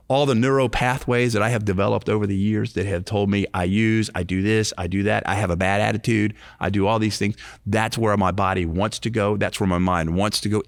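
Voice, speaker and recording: Brief: late-50s man, low gravelly voice, earnest recovery-ministry podcast co-host, dry close mic. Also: no room sound. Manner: earnest, repetitive, restarts phrases. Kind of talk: casual faith-based podcast talk.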